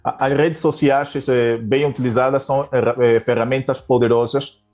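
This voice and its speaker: Guest teacher from Angola, male, mid pitch, halting delivery full of restarts. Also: fairly even intonation, a little mellow, soft background music. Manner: hesitant, many fillers and restarts. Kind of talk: interview answers.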